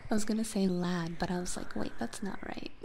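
A woman whose first language is Hungarian, whispering softly to her viewers in an ASMR chat stream on a binaural mic, halting now and then with fillers. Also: medium pitch, steady tone, a little hiss or hum. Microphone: binaural microphone.

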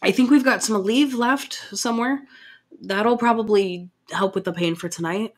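A woman playing a caring, gently bossy partner in an ASMR roleplay, coaxing and affectionate but firm with the listener. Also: medium pitch, normal speed, lightly acted. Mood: soothing, coaxing.

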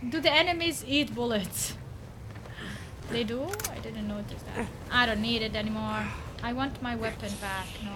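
A young woman from Bosnia and Herzegovina streaming a game live, excitable, with a voice partly lost, on a headset at home. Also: fairly high pitch, moderate pace, background noise. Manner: excitable, shouts and laughs at the action.

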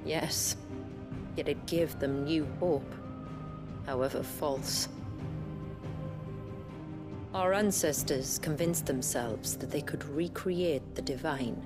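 Solemn low-voiced woman storyteller. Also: music in the background. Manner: measured, formal, earnest. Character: grave village woman recounting her people's tragic past. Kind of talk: solemn scripted storytelling in video game drama.

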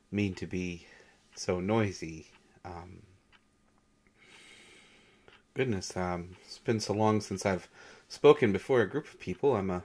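Audio drama role, male actor: shy male god posing as substitute teacher, lowish voice, very slow halting delivery, gentle storyteller. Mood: nervous, apologetic. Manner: hesitant, nervous, halting with soft chuckles. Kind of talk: intimate audio roleplay, storytelling lecture.

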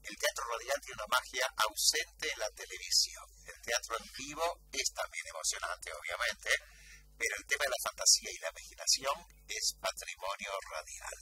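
A man's voice: mid-range voice, huge pitch swings, moderate pace, pushed and bright, husky, rough recording. A middle-aged man with a Buenos Aires Rioplatense accent, hosting a casual radio interview in a studio. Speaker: radio host. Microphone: studio microphone.

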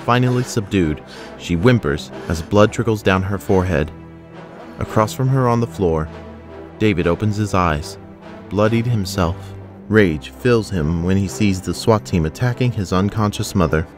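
A man's voice: sits low, normal speed, music in the background, lightly acted. A man narrating an action audio drama in a sober, scripted way.

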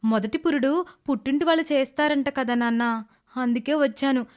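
Woman acting meek, high-voiced young stepdaughter. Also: fairly quick, darkish tone, lightly acted. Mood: affectionate. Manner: polite, pleading. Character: meek young pregnant stepdaughter, respectful and affectionate. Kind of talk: dramatized moral story voice acting.

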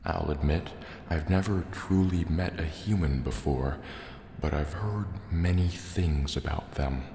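A man voicing a large, menacing, distrustful sea serpent dragon in a slow, deliberate, soft-spoken ASMR roleplay. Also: very deep voice, vocal fry, heavily acted. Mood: suspicious, threatening. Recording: echoey cave reverb, faint noise. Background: water and cave ambience.

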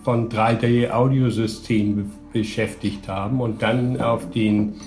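A middle-aged man giving an interview answer, halting and hesitant with long pauses. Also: on the low side, fairly even intonation, a little mellow, soft background music. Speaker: 3D audio producer. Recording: echoey room sound.

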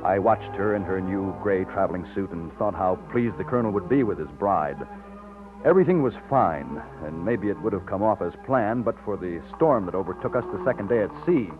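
A man's voice: on the low side, lively intonation, fairly quick, over music, lightly acted. An actor playing a wistful, self-doubting man in an old radio romance, earnest and sometimes hesitant. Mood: reflective. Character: wistful, self-doubting one-armed man narrating his own romance. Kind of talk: 1940s radio acting, narration and dialogue. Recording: muffled old-time radio recording.

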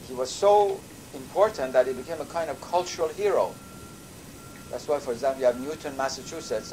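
An older man, a native Persian speaker from Iran, giving a measured university lecture with thoughtful pauses. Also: mid-range voice. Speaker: professor. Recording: lecture recording with audible background noise.

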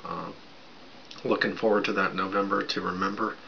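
A raspy man in his thirties rambling casually at his webcam in a small bedroom, with lots of fillers while he drinks. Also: sits low, flat delivery, normal speed, sharp tone. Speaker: vlogger. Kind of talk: casual show-and-tell vlog. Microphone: webcam at desk distance. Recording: dull lo-fi sound with background noise.

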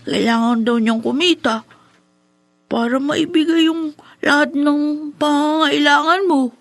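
A woman playing a gentle, wistful child in a Filipino radio drama, plaintive and earnest. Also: sits high, steady tone, measured pace, no echo. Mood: gentle, consoling.

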